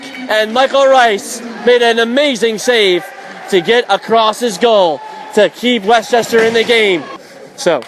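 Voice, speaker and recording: A man calling live ice hockey play-by-play for radio in an excitable, vivid, dramatic manner. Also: high-pitched. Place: indoor ice rink broadcast position. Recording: loud crowd and rink noise behind. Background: hockey crowd and rink ambience.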